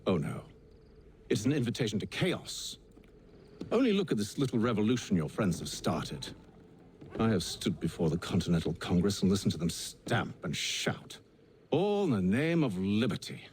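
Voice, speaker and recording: A commanding middle-aged British gentleman villain, with a lowish voice that swings expressively. He speaks in dry, sardonic, eloquent lines as in a game cutscene, over faint ambience. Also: sing-song, normal speed. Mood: passionate, disdainful. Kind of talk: video game cutscene acting. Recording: faint background ambient noise.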